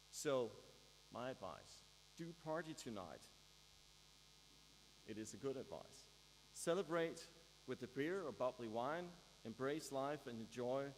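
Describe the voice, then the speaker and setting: Man giving a speech, echoing hall. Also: a little hiss or hum. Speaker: graduation ceremony speaker. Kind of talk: formal commencement speech. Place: large hall at a university ceremony, mains hum behind. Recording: echoey hall, humming low-quality live-stream.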